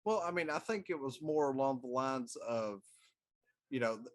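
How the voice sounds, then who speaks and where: A man's voice: mid-range voice, lively intonation, normal speed. Middle-aged man chatting as co-host. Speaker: talk show co-host. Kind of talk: casual chat about pop culture.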